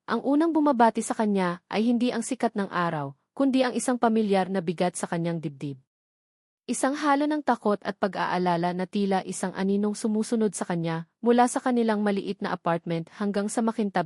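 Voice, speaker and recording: A woman narrates a dramatic audiobook story from a script. Her pitch sits in the middle range for a woman, and the recording is dry and close. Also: darkish tone, no echo.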